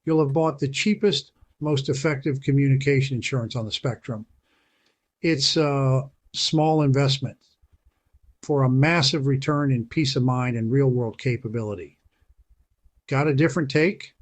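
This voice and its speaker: A man in a mid-range voice narrates a prepper video from a script. His delivery is emphatic and punchy, and he leaves dramatic pauses between short phrases. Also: mid-range voice. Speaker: channel host.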